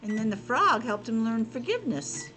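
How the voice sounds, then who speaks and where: Animated, high-voiced woman teacher reading a storybook. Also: moderate pace, a little hiss or hum, poor audio. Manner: expressive, child-directed, asking questions. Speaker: teacher reading to young children. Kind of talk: storybook narration with simple explanations.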